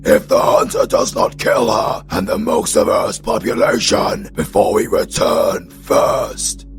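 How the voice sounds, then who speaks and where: An actor playing a proud, defiant, warlike demon king with a gravelly, creaky voice in a fantasy audio drama. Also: low voice, unhurried, soft background music, full character voice. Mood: stern, warlike.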